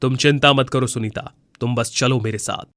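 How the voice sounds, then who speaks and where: Man voicing a bright-toned, kindly magic tree. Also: mid-range voice, steady tone, normal speed, dry recording, studio quality, close to an everyday voice. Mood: consoling. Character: kindly, consoling magical talking mango tree. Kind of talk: Hindi moral storybook voice acting.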